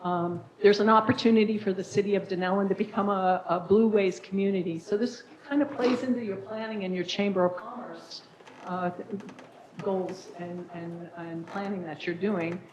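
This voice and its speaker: Raspy-voiced woman presenting in an echoey chamber. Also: medium pitch, steady tone, moderate pace, darkish tone. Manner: friendly, informal presenting style with frequent fillers. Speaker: nonprofit director giving a presentation. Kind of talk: public presentation at a council meeting. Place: city council chamber.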